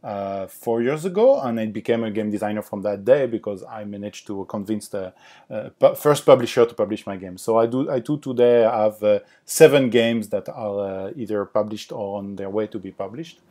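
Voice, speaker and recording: French-accented man, halting speech. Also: fairly low pitch, warm tone. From France. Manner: hesitant, many fillers and restarts. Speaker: game designer guest. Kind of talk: podcast interview.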